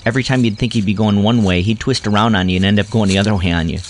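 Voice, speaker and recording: A man's voice: lowish voice, fairly even intonation, rapid-fire, a little hiss or hum. A man reading scripted first-person story narration in a reminiscing style.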